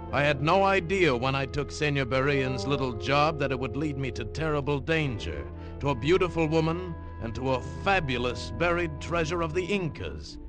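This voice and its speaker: A man's voice: medium pitch, close to an everyday voice. An actor playing a wry American adventurer who narrates his own tale in a 1950s radio drama. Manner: dry, wisecracking. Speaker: narrator and lead. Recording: old radio broadcast with music bed.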